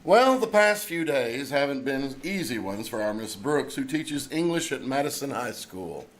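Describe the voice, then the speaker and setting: A man's voice: mid-range voice, expressive, moderate pace. A man announcing a scripted comedy show in the style of old-time radio, on a theater stage. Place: theater stage before a live audience. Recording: live stage recording, some background noise.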